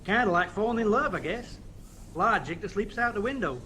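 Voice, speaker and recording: A man's voice: high-pitched, lively intonation, fairly quick, pushed and bright, breathy edge, exaggerated voice. A man acting in an audio play as an earnest, reflective embassy official with a conversational manner.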